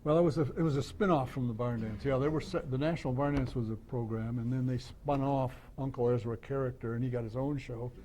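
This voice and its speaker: A man's voice: mid-range voice, steady tone, fast talker, few pauses, warm tone. A man conducting an informal interview, chiming in knowledgeably with details as he asks his questions. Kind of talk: informal interview about old radio shows. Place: club meeting room. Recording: slightly noisy live meeting recording.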